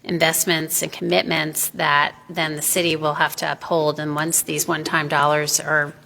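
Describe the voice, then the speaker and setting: A woman official explaining a city process in a measured way, with many pauses and fillers, in a public meeting hall. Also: low-pitched, little pitch movement, gravelly, sharp tone. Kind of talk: explanatory presentation. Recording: reverberant hall.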